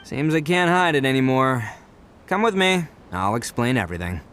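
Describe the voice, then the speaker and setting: An actor playing a brash, boastful young gang leader in a game cutscene, loud and excitable. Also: male voice, moderate pace, slight background noise, natural voice. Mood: earnest. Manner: loud, excitable, big swings in pitch. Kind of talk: video game cutscene dub.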